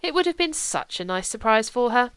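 A woman's voice: fairly high pitch, sing-song, fairly quick, fairly bright tone, clean tone, dry recording, pitched above the performer's own voice. A woman doing a doting, chatty old nanny. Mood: fond chatter. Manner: gossipy, doting, rambling. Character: elderly female family nanny, fond and chatty. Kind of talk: audiobook character voice.

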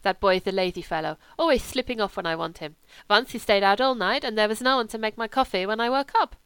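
A woman's voice: mid-range voice, fast talker, fairly bright tone, clean tone, no room sound, close to an everyday voice. A woman voicing a sickly, demanding young German man with a German accent and a grumbling, self-pitying manner, in an audiobook. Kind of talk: audiobook character reading.

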